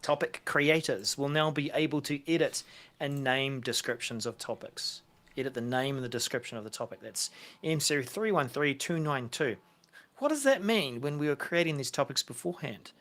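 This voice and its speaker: A male co-host speaks in a middle-pitched voice on a live tech show. His style is casual and explanatory, informally walking the audience through each update. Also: expressive, normal speed, a bit bright.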